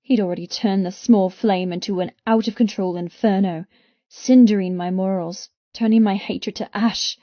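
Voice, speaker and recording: A woman reading first-person romance fiction as an audiobook narrator, with intense, expressive delivery. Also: medium pitch, moderate pace, dry recording, highs cut off.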